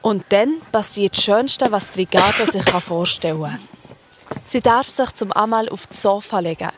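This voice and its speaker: A woman's voice: mid-range voice, normal speed, noisy background. A woman with a Swiss accent narrating a children's story in a colloquial style.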